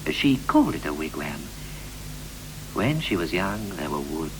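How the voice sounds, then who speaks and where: An actor playing a wistful married father who looks back on family life in measured, poetic lines of a 1960s radio drama. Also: extremely low pitch, very animated, full character voice. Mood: nostalgic. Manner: measured, poetic, reflective delivery. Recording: noisy, humming 1960s radio recording.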